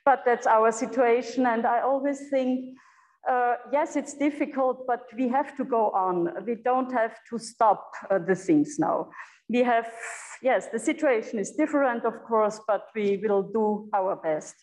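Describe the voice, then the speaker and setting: A woman with a German accent, a native German speaker, giving an unscripted guest lecture to students, halting and searching for words with many fillers. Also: a little high, darkish tone. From Europe. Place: echoey lecture room. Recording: echoey hall.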